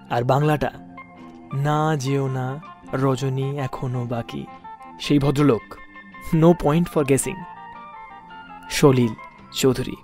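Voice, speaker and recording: A man with an Indian Bengali accent hosting a music radio show, telling anecdotes theatrically with suspenseful pauses and emphatic phrasing. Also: fairly high pitch, a little mellow. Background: music under the voice.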